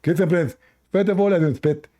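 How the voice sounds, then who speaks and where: A man's voice: sits high, brisk, warm tone, pitched above the performer's own voice, lightly acted. A man voicing a boastful, indignant male debate caller in a mocking skit, with a raised, defensive delivery. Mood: indignant boasting.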